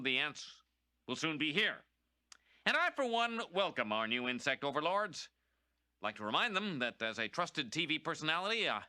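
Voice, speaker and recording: Pompous cartoon news anchor, sharp voice. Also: male voice, a little high, no echo, full character voice. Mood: fawning. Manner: pompous, solemn, halting. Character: pompous, cowardly middle-aged male TV news anchor.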